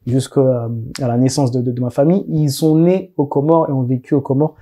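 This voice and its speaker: A man's voice: medium pitch, steady tone, fairly quick, dark tone, breathy edge. A man in his thirties from France, a guest talking casually in an interview with many restarts and fillers. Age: 30s.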